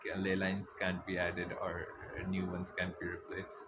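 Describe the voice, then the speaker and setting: A man's voice: sits low, monotone, moderate pace, noisy background. A man co-commentating on a game, chatting and explaining the story as it plays. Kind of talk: gameplay chat and reading dialogue aloud. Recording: dull audio under loud music.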